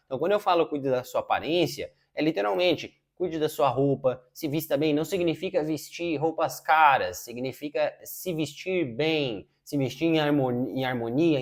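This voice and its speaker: A man of thirty, giving casual self-improvement advice, informal, with frequent filler pauses. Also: on the high side. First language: Portuguese. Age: 30s. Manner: informal, says "cara" a lot, many filler "é" pauses. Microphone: wireless lapel mic.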